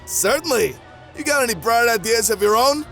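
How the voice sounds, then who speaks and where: A man's voice: extremely high pitch, lively intonation, normal speed, fairly bright tone, faint music behind, heavily acted. A man voicing a level-headed, wry goblin leader with a smug, folksy manner in a fan audio drama. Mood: calm, persuasive.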